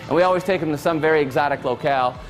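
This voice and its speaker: A man in his late forties delivering a motivational keynote, talking in a loose, conversational storyteller's way. His voice sits a touch high for a man, with faint music underneath. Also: very fast. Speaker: keynote speaker.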